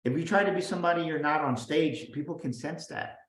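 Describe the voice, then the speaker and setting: A man talking as a guest business coach, energetic and emphatic, often restarting and repeating his words. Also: fairly high pitch, quick pace. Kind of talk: motivational interview talk. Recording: roomy echo.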